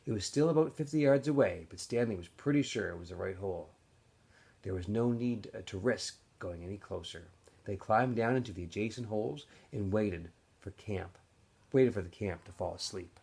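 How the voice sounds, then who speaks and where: A man narrating a novel aloud in an expressive storytelling style, as if for students. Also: lowish voice, normal speed, gravelly, breathy edge. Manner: animated storyteller. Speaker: teacher or school staff reading aloud to students.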